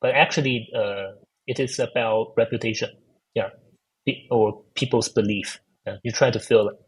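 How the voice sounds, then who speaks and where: A man's voice: on the low side, steady tone, normal speed, breathy edge, low-quality recording. A man with a Chinese accent answering interview questions, hesitant with many fillers and restarts. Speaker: guest, AI researcher. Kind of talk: casual technical interview.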